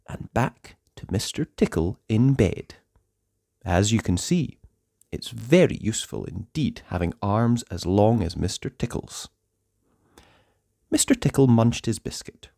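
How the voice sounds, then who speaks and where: A man reads a children's story with a hugely varied, swooping delivery at a middling pitch. He is playful, leaning on words and pausing for drama, and the recording is close and dry. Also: gravelly, dark tone, no room sound. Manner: playful, dramatic pauses and emphasis. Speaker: storybook narrator. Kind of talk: animated children's read-aloud narration.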